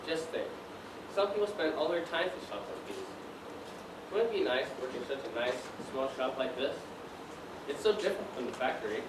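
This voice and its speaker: A man's voice: mid-range voice, very animated, normal speed, distant mic, close to an everyday voice. A teenage male student acting as a dreamy, determined young factory worker in a stage play, with earnest, projected delivery. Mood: dreamy, hopeful. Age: teens. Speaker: student actor. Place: stage in a large room, distant mic. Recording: roomy, noisy stage recording from afar.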